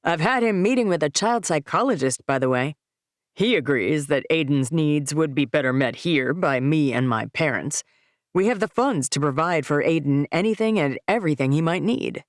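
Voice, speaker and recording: A man's voice: a little high, lively intonation, moderate pace, no room sound, clean studio sound, close to an everyday voice. A woman voicing a smug, wealthy man talking down to his ex-wife in a condescending, pushy way. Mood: smug, contemptuous. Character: smug wealthy adult man, scornful ex-husband.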